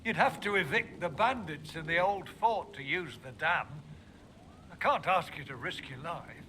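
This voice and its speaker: An actor playing a weary village mayor who speaks hesitantly and anxiously. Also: male voice, fairly high pitch, unhurried, sharp tone, slight background noise. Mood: worried. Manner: hesitant, worried.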